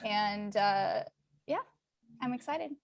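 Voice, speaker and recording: A woman who is an actress, chatting and introducing herself to a group in an excitable, casual way. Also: medium pitch, slow pace, creaky.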